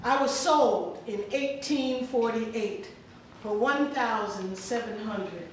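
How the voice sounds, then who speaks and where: A woman's voice: on the high side, takes it slowly. An actress playing an earnest formerly enslaved woman seeking her lost family, in a dramatic stage reading. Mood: earnest, formal. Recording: very echoey hall, distant mic, faint noise.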